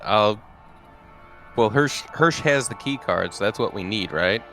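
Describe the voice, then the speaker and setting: A man's voice: on the low side, expressive, a little slow, bright, forceful tone, faint music behind. A man playing a tabletop game in casual chat, hesitantly thinking aloud.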